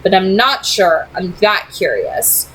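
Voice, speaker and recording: A woman's voice: mid-range voice, big pitch swings, slow, fairly bright tone, a little hiss or hum, rough recording. A woman voicing a wary, skeptical young male vampire in a read-aloud story, with casual, hesitant phrasing. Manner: casual, hesitant, plain-spoken.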